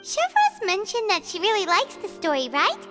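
A woman voicing a tiny, childlike, cheerful fairy companion in a video game, squeaky and eager. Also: huge pitch swings, normal speed, over loud music, heavily acted. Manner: squeaky, refers to self by name.

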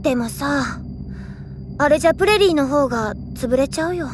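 A woman voicing a friendly, headstrong teenage heroine with casual, expressive speech in a game drama. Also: sits high, normal speed, noisy background, natural voice. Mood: lively, coaxing. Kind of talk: Japanese game audio drama acting. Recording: music behind the voice.

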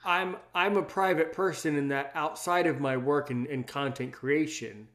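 A man's voice: fairly high pitch, moderate pace, a bit bright. A man from Maryland hosting a podcast, talking in a rambling, teasing way and often repeating his words. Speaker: podcast host. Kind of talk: casual interview chat.

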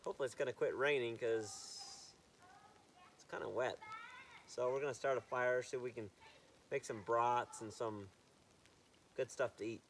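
A man's voice: medium pitch, fairly even intonation, moderate pace, long pauses. A man chatting casually for a vlog outdoors at a forest campsite. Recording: faint outdoor background noise.

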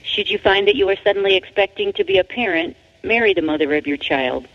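A woman with a creaky, gravelly edge to the voice narrates a book from a script. The delivery is deliberate and emphatic, and the recording sounds dull and lo-fi. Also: mid-range voice, expressive. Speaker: author reading their own book. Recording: dull, lo-fi audio.

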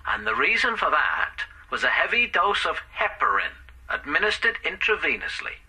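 An actor playing a brisk male police detective, giving a report over the phone in a matter-of-fact way in a radio drama. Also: on the high side, big pitch swings, sharp tone, breathy edge, full character voice, poor audio. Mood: factual. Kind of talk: BBC radio drama acting.

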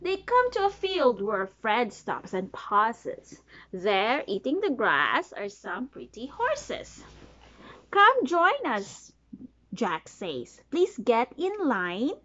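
Animated high-voiced mother reading slowly to child. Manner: sing-song, prompting the child with questions and counting. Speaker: mother reading to her toddler. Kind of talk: reading a picture book aloud to a small child. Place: small bedroom, sitting on a bed. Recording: dull lo-fi home recording.

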